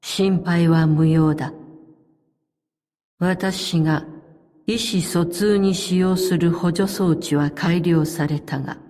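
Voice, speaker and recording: Stoic elite operative woman for a game, slow n measured, formal, long reverb on it. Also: fairly low pitch, fairly even intonation, warm tone. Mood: composed, formal. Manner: formal, measured, restrained, terse. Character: stoic elite female operative, telepathic, calm and loyal. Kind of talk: scripted game character voice lines.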